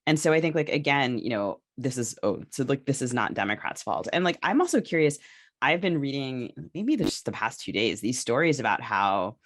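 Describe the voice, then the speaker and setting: A woman co-hosting a podcast discussion, speaking casually and haltingly as she thinks her points through aloud. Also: rumbling low, very animated. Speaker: podcast co-host.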